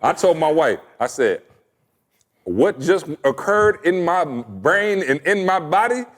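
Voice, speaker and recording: A man with a deep voice and a stern tone hosting a podcast, telling a lively story and laughing often. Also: takes it slowly. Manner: animated storyteller, laughs a lot. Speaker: podcast host. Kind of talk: casual chat, storytelling.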